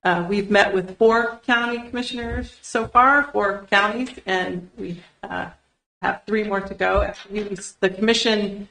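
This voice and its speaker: A woman with a gravelly edge to her voice and a middle pitch presents to county commissioners. She explains things in an orderly way and often pauses on "uh". The sound is roomy, picked up across a meeting room. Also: steady tone, sharp tone. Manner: organized, explanatory, with frequent "uh" fillers. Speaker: state land use planner presenting to county commissioners. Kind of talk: formal public meeting presentation. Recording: roomy public meeting recording.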